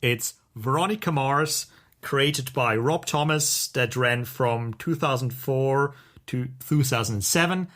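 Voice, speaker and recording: A man speaks as a podcast co-host in a casual, conversational style. His voice sits at an average pitch, and he talks haltingly, working out his phrasing as he goes. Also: fairly bright tone. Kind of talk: casual podcast chat, recommending TV shows.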